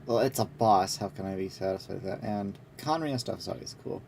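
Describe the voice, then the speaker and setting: A man's voice: on the low side, brisk, gravelly, darkish tone, a little hiss or hum. A man streaming a game, chatting casually and thinking aloud. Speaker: game livestreamer. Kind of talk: casual chat while gaming. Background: music.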